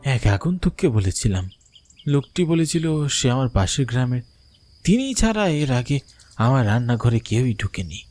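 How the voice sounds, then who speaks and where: A man's voice: mid-range voice, big pitch swings, moderate pace, a little mellow, close to an everyday voice. Man voicing an earnest village uncle over music. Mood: worried. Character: kindly village uncle, proud rice-hotel keeper with magic pot. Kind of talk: fairy-tale cartoon voice acting.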